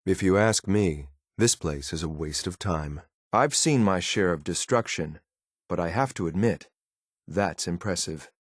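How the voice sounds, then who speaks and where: An actor playing a loyal, disapproving android soldier in a video game, speaking in a formal, clipped, deadpan and blunt way. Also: male voice, low-pitched, a little slow, dry recording, clean studio sound. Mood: measured, matter-of-fact. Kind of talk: video game companion voice lines.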